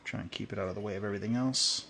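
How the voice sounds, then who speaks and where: A man hosting a hands-on tutorial, thinking aloud in a relaxed way while working at a workbench. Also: lowish voice, rapid-fire, gravelly, noisy background. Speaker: video host.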